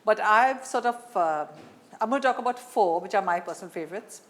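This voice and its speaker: A woman's voice: mid-range voice, expressive, brisk, a bit bright. A woman with an Indian accent hosting a conversational interview, phrasing her questions hesitantly. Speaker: interview host.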